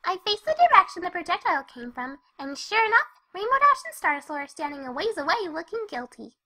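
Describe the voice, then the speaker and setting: Casting: an exuberant cartoon pony girl storyteller, extremely high and bright, animated delivery. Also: fairly quick, heavily acted. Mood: lively narrating. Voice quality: squeaky, girlish. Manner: exuberant, gushing. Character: bubbly excitable young female pony narrating. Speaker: fan fiction reader. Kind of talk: animated first-person story narration.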